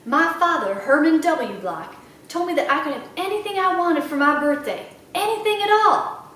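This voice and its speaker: Woman voicing an animated, proud elderly librarian lady. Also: sits high, moderate pace, pitched above the performer's own voice. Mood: proud, reminiscing. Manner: emphatic, repeats phrases for effect. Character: elderly Southern small-town woman librarian, proud, dramatic storyteller. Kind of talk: children's audiobook character voice. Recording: very echoey room, slightly distant mic.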